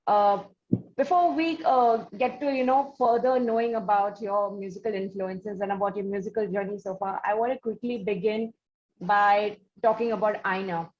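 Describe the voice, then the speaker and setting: A woman's voice: mid-range voice, fairly even intonation, moderate pace, darkish tone. A woman with an Indian accent hosting an interview, speaking in a wordy, effusive, hesitant way. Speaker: interview show host. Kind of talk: conversational interview. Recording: dull, lo-fi audio with background noise.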